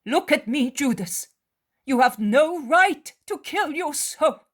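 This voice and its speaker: A woman's voice: sits high, animated, slow, pitched above the performer's own voice. A woman voicing a tender pleading mother. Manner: earnest and pleading.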